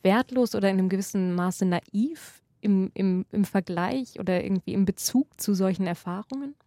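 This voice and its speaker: A woman's voice: mid-range voice, normal speed, dark tone, no room sound, clean studio sound. A woman interviewer putting careful, articulate questions in a cultural radio interview.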